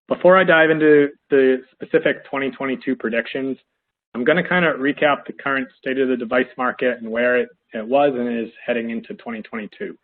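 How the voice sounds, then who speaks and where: Guy with a head cold giving a webinar, kinda hoarse, steady with lots of ums, tinny phone-like sound. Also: medium pitch. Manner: steady, measured, with frequent fillers. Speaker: industry analyst presenting a webinar. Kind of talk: presenting a business webinar.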